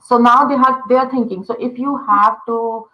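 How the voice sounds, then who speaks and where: A woman's voice: fairly high pitch, fairly even intonation, normal speed, pushed and bright, rough recording. A woman from India with an Indian accent, a native Telugu speaker, explaining conversation design in casual talk full of fillers like "uh" and "you know".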